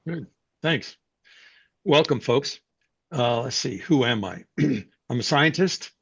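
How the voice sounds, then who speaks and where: An older man from New York City, speaking as a guest and telling his life story in a reflective, unhurried way with frequent fillers. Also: mid-range voice, lively intonation, creaky, fairly bright tone, slight background noise. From New York City, USA. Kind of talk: personal life story, conversational talk. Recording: dull, dry sound.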